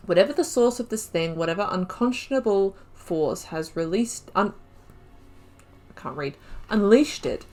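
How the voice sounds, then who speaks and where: A woman giving casual playthrough commentary as a gaming video host. Her voice is mid-pitched, and she talks informally, with wry asides as she reads and reacts. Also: lively intonation, normal speed, darkish tone. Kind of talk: casual playthrough commentary, reading game text aloud.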